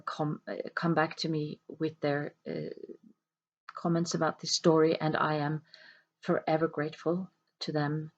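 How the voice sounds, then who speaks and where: Slow, hesitant Norwegian-speaking woman. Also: low voice, muffled recording. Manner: slow, hesitant, many ums and restarts. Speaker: self-published author. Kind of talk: reflective talk to camera.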